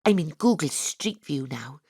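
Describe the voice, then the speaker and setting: A woman's voice: low voice, lively intonation, unhurried, darkish tone, husky, dry recording, clean studio sound, full character voice. Sweary, breezy woman detective narrating. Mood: irreverent. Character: brash sweary woman private detective, adult. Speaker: narrator and lead character. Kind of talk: first-person comic audio drama narration. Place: home studio.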